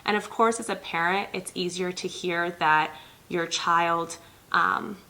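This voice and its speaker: Female host, 30s, from Ethiopia; earnest direct-to-camera talk, low-ish bright tone with some fry. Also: fairly even intonation, measured pace, poor audio. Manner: earnest, many ums, speaks directly to viewers. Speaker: pediatric nurse practitioner and channel host.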